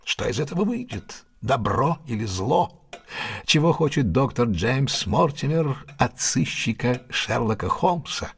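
An actor playing a confident, ironic detective with a husky voice in a Russian audio drama, speaking in a deliberate, theatrical, questioning manner. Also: male voice, medium pitch, big pitch swings, exaggerated voice. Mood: dramatic, musing.

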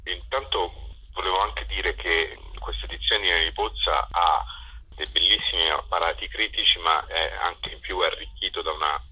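Male interview guest: low, raspy, hard-edged voice, halting explanatory delivery. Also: fairly even intonation, narrow, tinny audio. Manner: halting, self-correcting, explanatory. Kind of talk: conversational interview answers.

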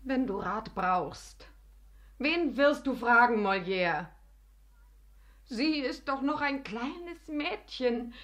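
Middle-aged rejected lover in radio drama, drawn out and pleading, emotional, often hushed. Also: female voice, fairly high pitch, exaggerated voice. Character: aging, spurned longtime companion and actress, imploring, weary. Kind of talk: classic German radio play acting.

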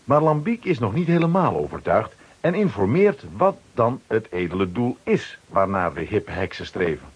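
A man's voice: fairly low pitch, very animated, moderate pace, hardly any pauses, breathy edge, dull audio. A man narrating a scripted children's radio play as a storyteller.

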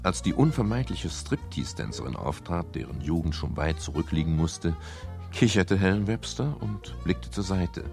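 A man playing a world-weary private detective in a radio crime drama, speaking with a dry, wry manner and a gravelly, husky voice. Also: deep voice, lively intonation, normal speed, darkish tone, faint music behind. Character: world-weary male private detective narrating his case. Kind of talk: 1970s German radio crime drama, first-person narration and dialogue.

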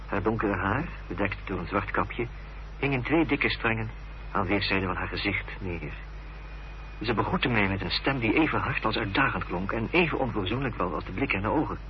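Guy playing gentleman narrator, lowish voice, literary radio drama, dull noisy old recording. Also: lightly acted. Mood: sober, measured. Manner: measured, literary. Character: sober gentleman narrator recounting a Victorian mystery.

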